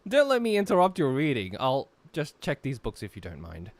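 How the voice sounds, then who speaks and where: A man chatting casually with friends while playing a game and reading its text aloud, animated and exclamatory. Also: medium pitch, fairly quick, no room sound. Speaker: host of a group visual novel playthrough. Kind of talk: casual group gaming chat with reading aloud.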